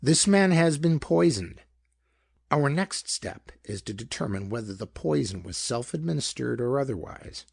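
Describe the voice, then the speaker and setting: A man's voice: medium pitch, lively intonation, moderate pace, vocal fry, husky, no echo. A man voicing a pedantic, eager scientific sleuth in a pulp detective story, with formal, precise diction. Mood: pedantic, assured.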